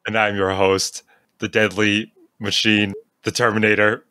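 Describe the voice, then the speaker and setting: Low, bright-voiced joking podcast host. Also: male voice, flat delivery, a little slow, smooth, no echo, studio quality. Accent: Long Island. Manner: joking, playful, laughs often. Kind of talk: casual chat.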